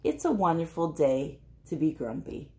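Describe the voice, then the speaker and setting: A woman voicing a good-natured male animal friend in a children's storybook, sore but hopeful, gentle and reassuring. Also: low voice, sing-song, moderate pace. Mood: contented.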